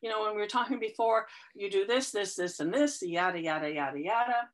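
A woman with a slightly stuffy, congested voice, chatting enthusiastically as an interview guest, full of fillers and lively storytelling. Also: medium pitch, brisk. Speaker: interview guest, fitness client. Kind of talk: casual conversational chat.

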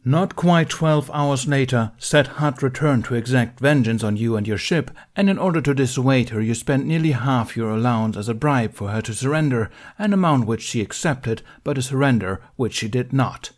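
A man's voice: mid-range voice, expressive, normal speed, hardly any pauses, voice pushed up, lightly acted. A man voicing a stern senior warrior-monk who lists charges in a clipped, formal, reproachful way in an audiobook reading. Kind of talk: audiobook reading of fan fiction.

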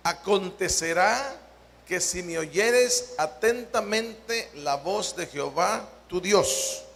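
A Salvadoran man preaching to his congregation in a slow, deliberate way, drawing out key words and putting questions to the people. His voice sits high and has a gravelly edge. The sound rings out with the long reverb of a church hall. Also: expressive, a bit bright, slight background noise. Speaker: pastor. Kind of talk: sermon.

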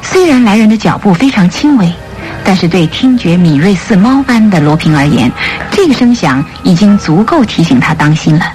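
A woman narrating a radio drama from a script in an expressive storytelling manner. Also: medium pitch, normal speed. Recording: studio radio play with music bed.

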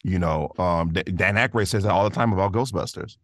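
A man's voice: sits low, a little fast, dry recording, clean studio sound. A man chatting casually as a podcast guest, informal and slangy, rambling through his answers. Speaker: podcast guest, voice actor and artist. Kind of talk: casual interview chat.

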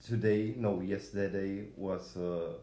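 Low-voiced man hosting a podcast. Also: fairly even intonation, takes it slowly, roomy sound, a crowd in the background. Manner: rambling, many fillers and restarts. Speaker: podcast host. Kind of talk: casual conversational podcast.

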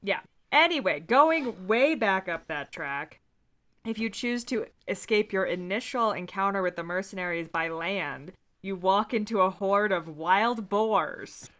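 A woman's voice: mid-range voice, animated, unhurried, a bit bright. A woman podcast host casually recapping and reading from a book in a wry, amused way. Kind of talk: casual book discussion with plot recap and read-out passages.

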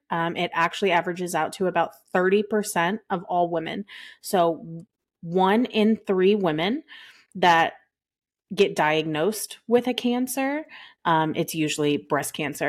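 A woman from Texas, co-hosting a podcast in a rambling, casual way with lots of fillers. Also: fairly low pitch. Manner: rambling, laughs often, many fillers. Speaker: podcast co-host.

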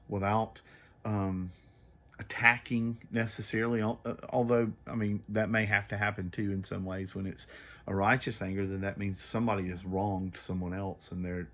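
A man's voice: lowish voice, flat delivery, moderate pace, fairly bright tone. A man in his thirties talking earnestly in a casual faith conversation, repeating words as he searches for them. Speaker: podcast co-host.

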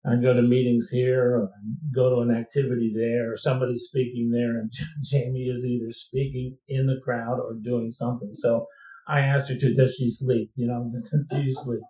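A man's voice: medium pitch, flat delivery, moderate pace, dark tone. A man hosting an interview podcast, chatting with his guest and rambling a little with restarts. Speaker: podcast host. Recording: roomy echo.

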